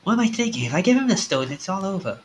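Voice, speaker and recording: A man's voice: sits high, very animated, quick pace, a little hiss or hum, voice pushed up. A man voicing a desperate, stubborn man in a visual novel, speaking with urgent, impassioned conviction. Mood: urgent resolve. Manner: urgent, impassioned, self-questioning. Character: desperate, stubborn man caught in a deadly curse.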